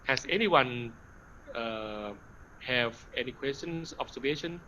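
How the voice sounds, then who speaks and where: A man lecturing over a video call. His tone is bright, and he pauses often with fillers. Faint hiss sits behind his voice. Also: mid-range voice, rough recording. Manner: hesitant, many fillers, slides in Thai. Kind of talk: online training lecture.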